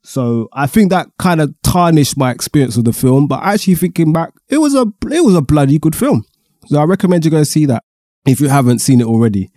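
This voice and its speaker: A man hosting a podcast in a chatty, rambling way, his voice husky and stuffy-nosed from a cold. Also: a little high, lively intonation, a little fast, warm tone, no room sound, studio quality. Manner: chatty and rambling, with many fillers. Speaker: podcast host. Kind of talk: casual solo podcast monologue.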